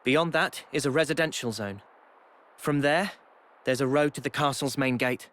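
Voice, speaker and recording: A man voicing a calm, serious young squad leader in a game cutscene, speaking in a measured, earnest way. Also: medium pitch, natural voice. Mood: focused. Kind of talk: video game cutscene acting.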